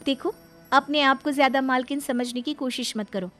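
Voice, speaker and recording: A woman's voice: sits high, normal speed, fairly bright tone, soft background music. A woman voicing a wronged young wife in a cartoon story, speaking earnestly, in a pleading and protesting way. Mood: indignant. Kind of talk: Hindi cartoon story voice acting.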